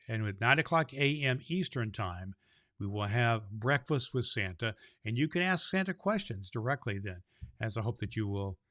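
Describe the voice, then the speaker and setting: A man's voice: mid-range voice, a bit bright, no echo, studio quality. A man playing a kindly, jolly old Santa Claus, hosting a children's show in a folksy, effusive way. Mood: cheerful, inviting. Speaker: host.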